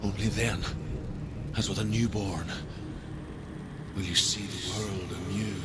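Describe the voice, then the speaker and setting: An actor playing a weathered, solemn mentor who survived captivity, speaking in a hushed, breathy, dramatic way in a video game. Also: male voice, on the low side, fairly even intonation, lightly acted. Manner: slow, hushed, breathy delivery. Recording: heavy background noise.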